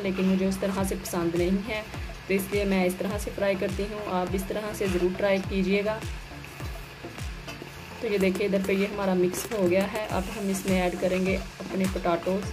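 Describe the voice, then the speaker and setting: A woman gives a plain, instructional step-by-step recipe voice-over as a home cooking host. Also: medium pitch. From Pakistan. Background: music behind the voice.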